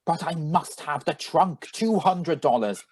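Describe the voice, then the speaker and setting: A man's voice: a little high, animated, a little slow, darkish tone. A man playing a desperate, pushy little man at an auction in a radio drama reading. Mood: urgent, desperate. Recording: live video-call recording.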